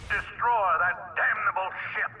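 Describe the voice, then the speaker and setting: A man's voice: high voice, measured pace. A man voicing a stern, haughty military fleet commander in a video game, barking orders through a radio filter. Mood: commanding anger. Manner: forceful, barked orders. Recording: distant radio transmission, battle noise.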